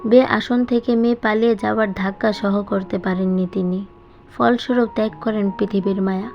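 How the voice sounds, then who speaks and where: Female audiobook narrator, medium pitch, dramatic scripted storytelling, slightly dull recording, background music. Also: steady tone, a little fast. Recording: dull sound with music behind.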